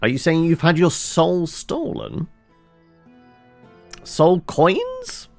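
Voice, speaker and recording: A man's voice: on the high side, wildly swooping pitch, drawn-out words, gravelly, faint music behind. A man streaming a game with casual, wry commentary and rambling asides to his viewers. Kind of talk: casual live playthrough commentary, chatting with viewers.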